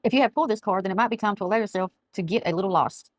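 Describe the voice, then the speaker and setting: A middle-aged woman, chatting through a tarot reading and reading aloud from a card book, her voice going hoarse as she often loses her words. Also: mid-range voice, very fast, dry recording, studio quality. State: losing her voice. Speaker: tarot reader. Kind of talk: casual tarot reading to camera. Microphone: camera mic, speaking to camera.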